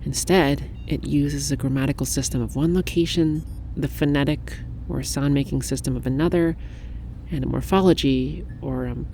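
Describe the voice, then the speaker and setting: A woman playing a secretive, businesslike researcher in a soft-spoken ASMR roleplay, speaking in a politely official but halting way. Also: a bass voice, fairly even intonation, a little hiss or hum, rough recording. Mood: thoughtful. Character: suspicious young female linguist researcher, secretive and businesslike. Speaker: researcher at a parapsychology institute.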